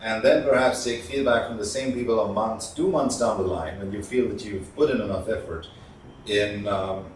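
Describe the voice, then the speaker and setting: A man giving an instructional talk as a communication trainer, explaining with emphatic stressed words and pauses. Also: lowish voice. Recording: echoey room, distant mic, faint noise.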